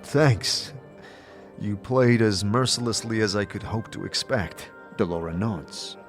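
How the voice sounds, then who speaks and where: Low-voiced male audio drama narrator. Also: a little slow, soft background music. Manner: hesitant, with filler "uh"s in dialogue. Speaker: narrator and lead player.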